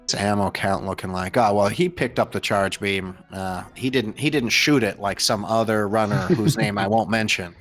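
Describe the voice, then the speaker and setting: A man's voice: on the low side, normal speed, hardly any pauses, soft background music. An American man commentating and interviewing on a live gaming race in a casual, chatty, jokey way. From United States. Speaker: host and commentator.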